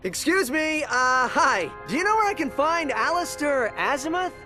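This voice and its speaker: Youthful alien pilot hero, animated. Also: male voice, extremely high pitch, normal speed, exaggerated voice. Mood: polite. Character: exasperated, brave young male alien pilot hero. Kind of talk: animated video game voice acting. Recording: loud music behind the voice.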